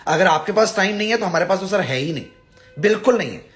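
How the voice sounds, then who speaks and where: A man's voice: sits high, expressive, quick pace, a bit bright, soft background music. A man addresses followers on a live stream, blunt and exasperated, scolding and pleading with emphatic repetition. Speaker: visa advisor and live-stream host.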